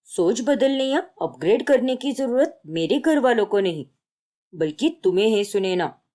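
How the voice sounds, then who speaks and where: A woman's voice: a little high, expressive, normal speed, dark tone, close to an everyday voice. A woman voicing an offended young husband in a cartoon story, firm, reproachful and demanding. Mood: firm, angry.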